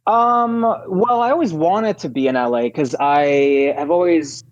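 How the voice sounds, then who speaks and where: A man's voice: on the high side, expressive, measured pace. A man in his thirties, originally from Ukraine, chatting casually as a podcast guest, rambling with many fillers and restarts. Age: 30s. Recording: dull lo-fi audio.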